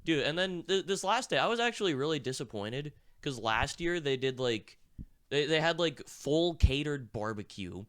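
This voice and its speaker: A young man with a sick, rough voice, co-hosting a podcast in rambling casual chat, swearing and laughing often. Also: on the high side, sing-song, normal speed, a bit bright. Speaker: podcast co-host.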